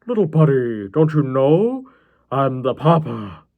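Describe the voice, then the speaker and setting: A man's voice: mid-range voice, big pitch swings, slow pace, vocal fry, narrow, tinny audio, exaggerated voice. A man voicing an unhinged grandpa who is childish, wounded and menacing, in a folksy, aggrieved manner, for a story reading. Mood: eerie, smug. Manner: folksy, aggrieved, calls people little buddy.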